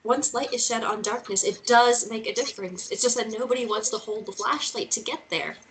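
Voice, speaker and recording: A woman's voice: on the high side, fairly quick, lightly acted, rough recording. A woman acting a driven, frustrated young journalist in a screenplay table read, with an exasperated, intense delivery. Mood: insistent.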